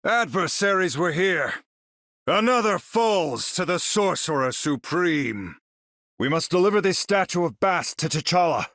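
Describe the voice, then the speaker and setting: A proud wizard hero for a video game, super theatrical n formal, voice swings up and down a lot, dry studio sound. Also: male voice, high-pitched, lively intonation, a little slow, fairly bright tone, no echo. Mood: commanding, urgent. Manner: grandiose, formal, incantatory delivery. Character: proud, battle-ready sorcerer supreme, grandly theatrical hero. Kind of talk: video game character voice lines.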